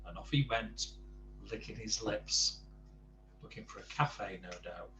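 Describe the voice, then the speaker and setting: A man's voice: fairly low pitch, unhurried, creaky, pushed and bright, reverberant, slight background noise, low-quality recording. A man reading a children's bedtime story aloud, adding chatty asides to the listener.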